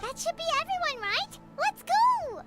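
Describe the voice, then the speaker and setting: An extremely high, squeaky little fairy companion from a video game. The childlike voice is eager and easily flustered, drawn out and wildly animated, with loud music behind it. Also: female voice, measured pace, full character voice.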